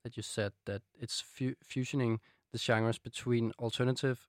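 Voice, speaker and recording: A man's voice: mid-range voice, little pitch movement, moderate pace, no echo. A man with a Danish accent hosting a casual studio interview in loose, hesitant phrasing. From Denmark. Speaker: radio host. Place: radio studio.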